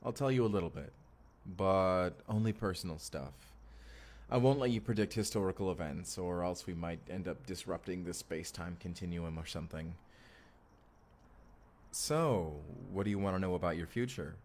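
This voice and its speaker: A young man acting a goofy, affectionate husband from the future in an intimate audio drama, speaking casually and hesitantly straight to the listener. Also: deep voice. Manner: casual, hesitant, sighing, talks directly to listener. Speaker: actor in audio roleplay. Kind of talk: first-person immersive audio roleplay, intimate conversational acting.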